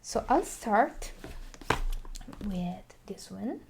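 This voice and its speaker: A woman doing a soft-spoken ASMR roleplay as a video game shop clerk, slipping into whispers, with a hesitant, halting delivery. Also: medium pitch, very animated, gravelly, noisy background.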